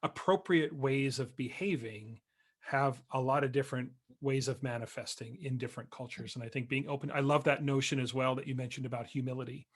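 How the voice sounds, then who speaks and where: A man hosting a conversational podcast interview, measured and reflective, often pausing with "uh" and "you know". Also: mid-range voice, fairly even intonation, breathy edge. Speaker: podcast host.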